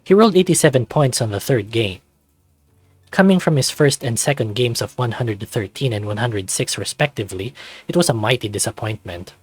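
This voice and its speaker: A man's voice: mid-range voice, expressive, a little fast, slightly rough, no echo. A man narrating a visual novel and chatting casually as the host of a read-aloud channel.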